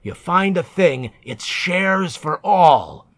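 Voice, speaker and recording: A man voicing a gruff, greedy, callous salvage captain in a narrative poem, giving orders in a commanding, blustering way. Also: sits high, big pitch swings, slow pace, a bit bright, voice pushed up, exaggerated voice. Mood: brusque.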